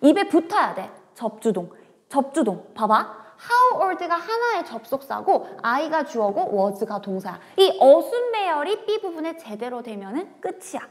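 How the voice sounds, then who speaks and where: A woman's voice: sits high, sing-song, measured pace, big-room sound. A woman teaching a grammar lecture, talking straight to her students and moving from casual talk into polite speech.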